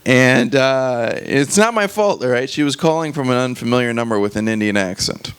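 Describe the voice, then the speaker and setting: A man performing conversational stand-up at a live open mic, animated and sweary, often checking in with the audience using short questions. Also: medium pitch, moderate pace. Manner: animated, swearing, frequent rhetorical check-ins to the crowd. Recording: clearly noisy live open mic recording.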